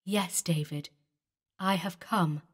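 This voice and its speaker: A gentle young female ghost speaks in a calm, sweet voice with a mournful edge, as a woman reads her. She sounds distant and wistful. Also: fairly high pitch, measured pace, voice pushed up.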